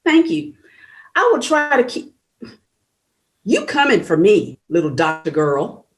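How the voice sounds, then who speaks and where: A woman's voice: fairly low pitch, big pitch swings, unhurried. A woman playing a sarcastic, sugary nurse in her fifties at a table read, with an exaggerated sing-song bounce. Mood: mock-sweet sarcasm.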